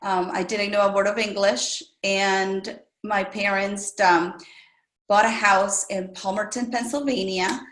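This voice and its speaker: A middle-aged woman, Spanish her first language, speaks as an interview guest. She shares her story earnestly and reflectively, pausing often. Her voice carries a noticeable roomy echo. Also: medium pitch, fairly even intonation, fairly bright tone. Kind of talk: casual interview conversation.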